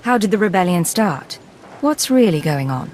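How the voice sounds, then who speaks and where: A woman's voice: on the low side, very animated, normal speed, darkish tone, a little hiss or hum. An actress playing a tough, sardonic female secret agent in a video game, with a dry, cool, menacing manner. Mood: dry, questioning. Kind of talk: scripted video game dialogue.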